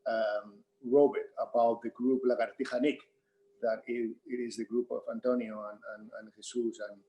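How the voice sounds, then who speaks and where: Mellow, dark-voiced man with Spanish accent. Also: mid-range voice, level tone, moderate pace, poor audio. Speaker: theatre director. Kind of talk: informal panel talk.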